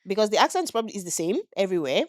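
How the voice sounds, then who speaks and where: A woman's voice: mid-range voice, big pitch swings, rapid-fire, no echo, clean studio sound. A middle-aged woman with a Nigerian accent, co-hosting a casual podcast and steering the talk to new topics. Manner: conversational, steers topics, checks in with "do you get what I mean". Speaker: podcast co-host. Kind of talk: casual chat.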